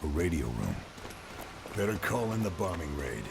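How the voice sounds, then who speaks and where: An actor playing a stoic, grim Allied soldier in a war video game, speaking tersely and deadpan in a gravelly voice. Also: male voice, moderate pace, heavy background noise, exaggerated voice. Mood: terse focus. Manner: terse, deadpan, low-key. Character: stoic, grim male Allied soldier, a lone wartime operative. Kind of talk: video game protagonist acting.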